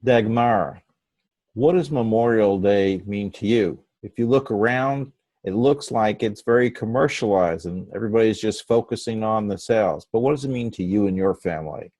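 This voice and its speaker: A man's voice: lowish voice, normal speed, darkish tone, dry recording. A man moderating a public speaking meeting, reading out prompts and putting questions to speakers. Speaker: table topics host.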